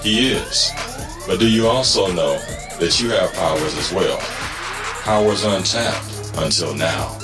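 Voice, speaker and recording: A man's voice: low voice, huge pitch swings, unhurried. A man hosting religious teachings, addressing listeners directly in a didactic, scripted introduction. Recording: roomy, echoing voice track. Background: music.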